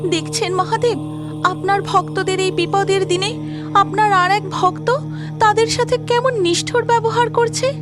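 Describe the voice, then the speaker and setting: Woman voicing a high, gentle, concerned goddess. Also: fairly even intonation, measured pace, music in the background. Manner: soft, respectful, questioning. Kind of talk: mythological cartoon voice acting.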